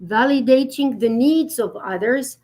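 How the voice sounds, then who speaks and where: A woman's voice: on the high side, measured pace, a little mellow. A woman speaking as an etiquette expert in a live-stream conversation, explaining earnestly with frequent fillers.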